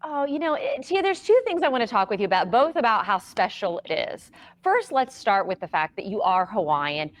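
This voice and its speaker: A woman's voice: medium pitch, big pitch swings, brisk. A woman hosting a morning TV show, upbeat and bubbly, tossing in casual asides as she introduces and questions a guest in the studio. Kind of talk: upbeat TV interview.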